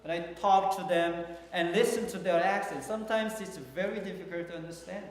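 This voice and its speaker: A man with a Korean accent preaching a sermon as pastor, speaking haltingly with many fillers and restarts. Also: high-pitched. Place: large church hall. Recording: echoey hall from far off.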